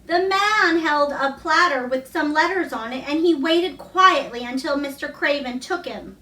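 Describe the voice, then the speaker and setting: A woman's voice: sits high, unhurried, sharp tone. A woman reading a novel aloud as storybook narration. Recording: echoey room, distant mic.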